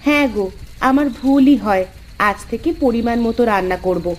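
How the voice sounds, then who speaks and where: A woman's voice: a little high, normal speed, slight background noise, close to an everyday voice. A woman voicing a practical village housewife in a cartoon, matter-of-fact and chiding with her husband. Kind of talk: Bengali cartoon dubbing.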